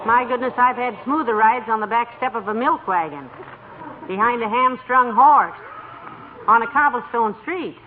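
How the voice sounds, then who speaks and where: A woman playing a patient, teasing wife with dry wit in a 1940s radio comedy, wry and gently chiding. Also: on the high side, moderate pace, natural voice. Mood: relieved grumbling. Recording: noisy, muffled vintage radio broadcast.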